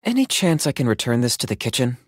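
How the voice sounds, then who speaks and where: A man voicing a calm, pragmatic young hunter in a video game, businesslike, deadpan and composed. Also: mid-range voice, quick pace, husky, no room sound, studio quality. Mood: dry, matter-of-fact. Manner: deadpan, matter-of-fact, composed. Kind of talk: video game character voice acting.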